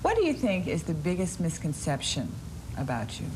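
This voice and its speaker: A female interviewer with a very low voice, asking calm, probing questions. Her measured pace fits a TV interview. A faint ambient hum sits behind her. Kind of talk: morning show interview.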